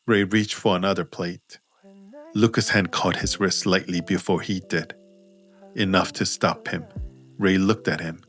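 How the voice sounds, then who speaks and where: A man narrating scripted fiction as an audiobook, in a measured, understated manner. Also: low-pitched, steady tone, a bit bright, faint music behind. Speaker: author and narrator.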